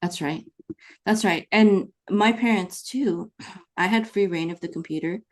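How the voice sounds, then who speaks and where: A woman's voice: medium pitch, unhurried. A woman with a Californian American accent hosting a conversational podcast interview, explaining her opinions. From California, United States, born in the Philippines. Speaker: podcast host.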